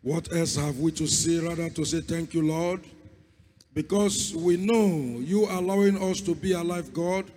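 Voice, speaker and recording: Hoarse man preaching in a reverberant hall. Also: a little high, a little slow, dark tone. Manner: fervent, repeats calls to God. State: hoarse, lost voice. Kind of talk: sermon with opening prayer. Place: church hall.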